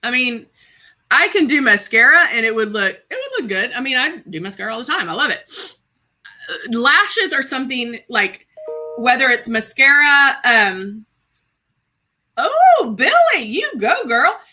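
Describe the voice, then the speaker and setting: A woman's voice: high voice, big pitch swings, a little slow, bright, forceful tone. A woman of about fifty hosting a live makeup stream, chatting casually and exclaiming to viewers as she demonstrates and reads their comments. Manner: chatty and exclamatory, addressing viewers as girl and guys.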